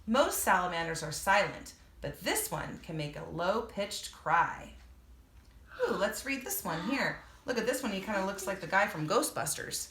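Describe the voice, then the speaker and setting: A woman's voice: medium pitch, big pitch swings, normal speed, a bit bright, slight background noise. Woman reading aloud to children, echoey room. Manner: addresses young listeners directly, explaining as she reads. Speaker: reader and teacher for children. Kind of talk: children's read-aloud with explanatory asides.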